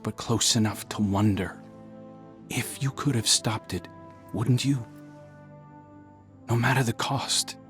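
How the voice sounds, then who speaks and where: An actor playing a guilt-ridden outcast guardian in a sci-fi game, speaking in a halting, earnest way. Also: male voice, lowish voice, natural voice. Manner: halting, soft-spoken confession with deliberate pauses. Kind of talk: dramatic sci-fi game voice acting.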